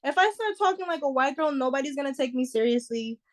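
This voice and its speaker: Casting: young woman, somewhat high voice, easygoing, reflective podcast guest. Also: animated, quick pace. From New York. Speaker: guest singer. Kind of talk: casual interview chat.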